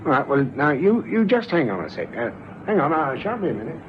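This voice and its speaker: Casting: stammering, kindly, anxious male caretaker, animated intonation, muffled vintage radio drama with faint hiss. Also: a little high, fairly quick. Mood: coaxing, anxious. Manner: hesitant, stammering, coaxing. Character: nervous, well-meaning married male block caretaker. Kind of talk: old radio drama acting. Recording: muffled old radio recording, faint noise.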